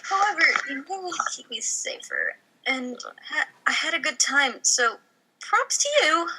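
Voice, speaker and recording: Woman playing an earnest, bright-voiced heroine. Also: sits high, animated, unhurried, a little hiss or hum, full character voice, poor audio. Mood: sincere. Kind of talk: comedic script table read.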